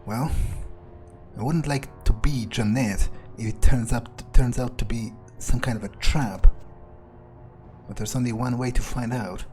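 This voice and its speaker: A man giving in-character game commentary, muttering his thoughts aloud in a halting, sweary, wryly understated way. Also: medium pitch, steady tone, a little mellow. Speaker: video game player narrating in character. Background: game music.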